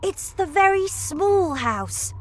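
A woman's voice: extremely high pitch, sing-song, slow pace, soft background music, voice pushed up, heavily acted. A woman voicing an earnest, sweet young boy in a storybook, with an eager, emphatic manner.

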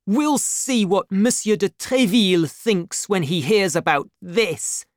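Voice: mid-range voice, takes it slowly, dry recording, clean studio sound, pitched above the performer's own voice, natural voice. A storybook narrator voicing a proud, hot-headed young Gascon man of eighteen with an indignant, challenging delivery. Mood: angry, defiant.

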